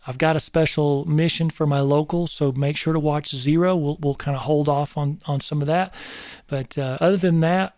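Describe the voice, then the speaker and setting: A middle-aged man with a somewhat high voice talks to his livestream viewers in a loose, rambling way, circling back over his words. He sounds earnest and a little preacherly. The sound is dry and close to the mic. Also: fairly even intonation, normal speed, a little mellow, dry recording. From North Georgia, US South. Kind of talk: casual livestream talk to viewers.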